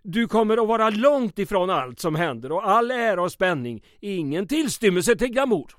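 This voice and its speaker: A man acts out an anxious small-town mayor in an old-style radio play. His voice sits high for a man and is recorded close and dry. He speaks earnestly and deferentially, persuading with florid, theatrical turns of phrase. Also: very animated, dry recording. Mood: exasperated. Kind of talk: old-time radio comedy drama acting.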